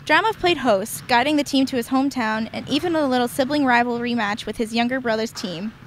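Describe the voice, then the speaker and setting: A young woman reads a sports news script in a slightly high voice with even pacing. She holds a handheld mic in a gym, and a faint background noise runs under her words. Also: a little fast. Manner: even, read-aloud delivery. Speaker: campus TV sports reporter. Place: indoor gym.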